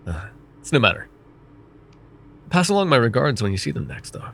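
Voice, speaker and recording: A man voicing a vain, wry, middle-aged male mage who counsels a student in an ASMR roleplay, speaking in a calm, measured and gently teasing way. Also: mid-range voice, creaky, a little hiss or hum. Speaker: voice actor.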